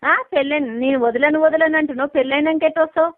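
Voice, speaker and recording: A high-voiced woman with a Telangana Telugu accent argues heatedly on a tinny phone-call recording. She is confrontational and talks extremely fast, pressing the same phrases again and again. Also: steady tone, dry recording. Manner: confrontational, repeats herself insistently. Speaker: wife in a phone dispute.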